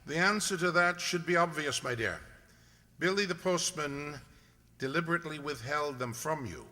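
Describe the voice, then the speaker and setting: A very sharp, forceful voice from a man, slightly high, plays a gentleman detective. He is shrewd, incisive and in command, with theatrical radio-play delivery. It rings in a reverberant hall. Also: normal speed. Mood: measured, knowing. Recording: echoey hall.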